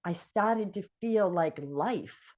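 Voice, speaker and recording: An older woman from New England, a guest telling her personal story in an earnest, emotional way with frequent pauses and ums. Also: medium pitch, animated, darkish tone. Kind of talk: personal testimony.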